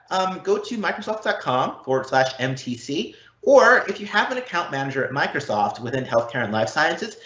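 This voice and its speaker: A man giving an explanatory live online presentation as a technology consultant, often checking in with a quick "right?" or "okay?". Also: on the high side, fairly bright tone. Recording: roomy, slightly dull online event audio.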